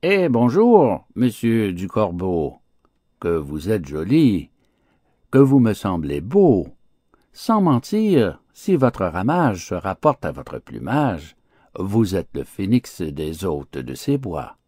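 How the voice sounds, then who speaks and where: Man voicing a sly, drawling, flattering fox. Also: deep voice, husky, no room sound, studio quality, full character voice. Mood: fawning. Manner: unctuous, drawn-out flattery.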